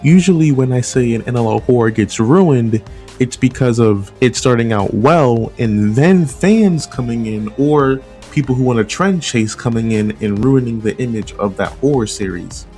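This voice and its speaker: A man's voice: mid-range voice, moderate pace, warm tone. A man giving casual opinion commentary as a video essay host, conversational and often rephrasing his points. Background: background music.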